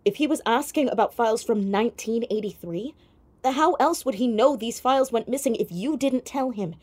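An actress in a naturalistic audio drama playing a clever, persistent young amateur sleuth who is earnest, hesitant and probing. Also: female voice, fairly high pitch, moderate pace, darkish tone, close to an everyday voice. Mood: tentative, insistent.

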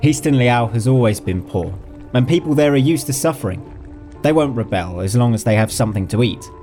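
A man voicing a calm, curious young nobleman who speaks in brisk, level sentences. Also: medium pitch, soft background music, voice pushed up, lightly acted.

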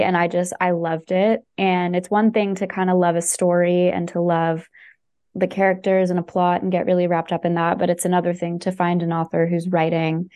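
A woman's voice: lowish voice, darkish tone. A woman chatting casually and enthusiastically on a podcast, with many fillers and restarts. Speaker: podcast guest recommending books. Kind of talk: casual chat.

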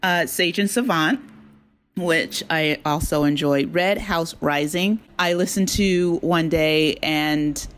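Female interview guest, lowish pitch. Conversational, chatty, appreciative delivery. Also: takes it slowly. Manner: chatty, appreciative, laughs easily. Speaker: interview guest, audio drama creator.

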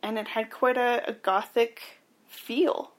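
A woman co-hosts a podcast and talks in a chatty, informative way, walking her partner through a recap. Her voice sits a little higher than most women's. The delivery is casual conversation. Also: steady tone, slow pace. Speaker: podcast co-host.

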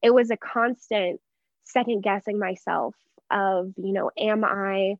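A young American woman from Texas speaking as an interview guest, earnest and expressive as she reflects at length in personal conversation. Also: mid-range voice, a little slow, dry recording, muffled recording. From Texas, United States. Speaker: interview guest, church member.

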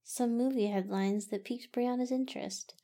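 A woman's voice: a little high, steady tone, moderate pace, mellow. A woman co-hosting a casual movie podcast, chatting in a dry, offhand way. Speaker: podcast co-host.

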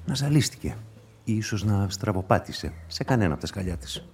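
A sceptical police inspector in a radio drama. His voice is low and somewhat mellow, musing and probing with dry irony. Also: expressive, faint music behind. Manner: musing, questioning, dryly ironic. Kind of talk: radio play acting and monologue.

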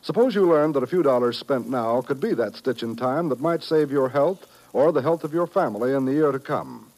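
A man's voice: mid-range voice, lively intonation, a little fast, a little mellow, poor audio. A man working as a 1950s radio announcer, reading a scripted public service appeal in an earnest, persuasive way. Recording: vintage 1950s radio broadcast.

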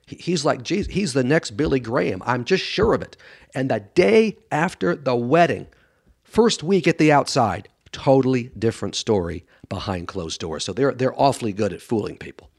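A man's voice: mid-range voice, expressive, moderate pace, creaky, a little mellow, breathy edge. Man, mid-range voice, guest expert, conversational interview, blunt, emphatic, dramatizes quotes. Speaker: guest expert doctor and author on a radio show.

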